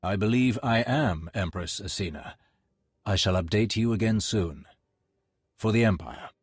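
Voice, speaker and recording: An actor playing a courteous Sith lord in a video game, formal and measured, with polished deference. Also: male voice, on the low side, slightly rough, no echo. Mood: grave, deferential. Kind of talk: dramatic video game voice acting.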